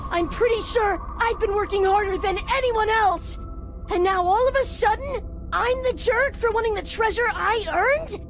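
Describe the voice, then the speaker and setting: Small cartoonish game crab, extremely high voice, slow stretched delivery, whiny, stammering, aggrieved, music behind. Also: female voice. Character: frantic, aggrieved little hermit crab, young underdog hero. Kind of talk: video game cutscene acting.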